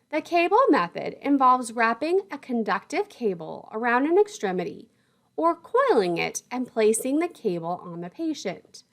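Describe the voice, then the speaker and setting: A woman delivers a scripted technical lesson in a fairly high voice. Her pitch moves in a lively way from phrase to phrase. The wording is formal and the terms are spoken with care, as a lecturer would. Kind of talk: scripted instructional narration.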